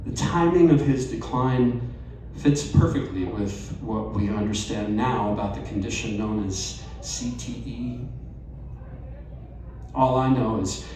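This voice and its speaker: A man reading a personal essay aloud at a live storytelling night, measured and wry. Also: mid-range voice, a little mellow, distant mic, slight background noise. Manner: slow, measured delivery with wry asides. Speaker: reader at a live storytelling event. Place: bar or event venue, live audience. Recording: very echoey room, voice off-mic, faint ambience.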